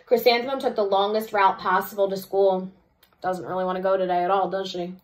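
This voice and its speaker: Lady teacher doing a kids read aloud, expressive w/ little chatty explanations mixed in, medium pitch. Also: a little fast, rough recording. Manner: expressive reading, chatty asides. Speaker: second-grade teacher.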